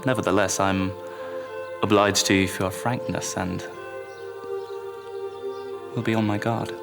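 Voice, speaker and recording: An actor playing a gallant gentleman seducer in period drama dialogue, formal and courteous yet wary and smitten. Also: low voice, steady tone, measured pace, vocal fry, music in the background. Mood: indignant, charmed.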